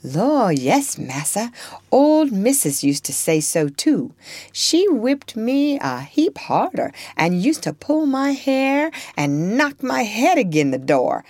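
A woman plays a young orphan girl from a storybook, impish and defiant. Her is blunt and offhand, pitched well up and swinging freely, in a very dry, close recording. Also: sing-song, takes it slowly, darkish tone, no room sound, full character voice. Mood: sly, matter-of-fact. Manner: dialect grammar, blunt, offhand.

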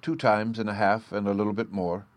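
A man voicing a gentle, sensible little fairy girl, speaking softly and carefully in an audiobook. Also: lowish voice, little pitch movement, a little fast, dry recording, voice pushed up, close to an everyday voice. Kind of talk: audiobook character voice.

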